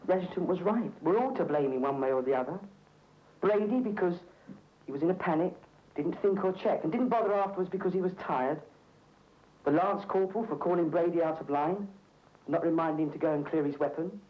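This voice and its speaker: An actor playing a tired, frank soldier arguing about blame in a 1970s army training film. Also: male voice, on the high side, a little fast, close to an everyday voice. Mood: reflective, reproachful. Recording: echoey, muffled old film soundtrack.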